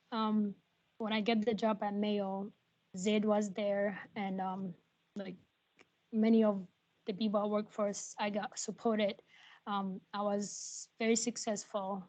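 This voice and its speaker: A young woman whose first language is Arabic, telling her story on a video call in halting English full of pauses. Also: mid-range voice. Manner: halting, many ums, soft and earnest. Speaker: nursing graduate.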